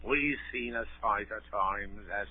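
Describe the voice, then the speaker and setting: A man's voice: on the low side, measured pace, slightly rough. An actor playing a sly, menacing old pirate seaman who wheedles in rustic seafaring speech, in 1930s radio drama style. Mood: falsely friendly menace. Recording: old muffled narrow-band 1930s radio broadcast.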